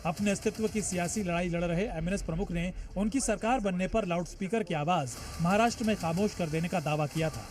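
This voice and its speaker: A man's voice: high-pitched, a little fast, hardly any pauses. An Indian man reading a scripted news report as a reporter's voice-over. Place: voice-over with music bed behind.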